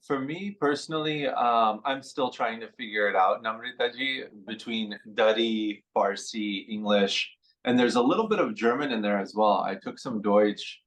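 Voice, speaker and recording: A man in his forties being interviewed on radio, talking reflectively with frequent pauses and fillers. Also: on the low side, a bit bright, rough recording. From San Francisco Bay Area. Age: 40s. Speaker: interview guest, musician.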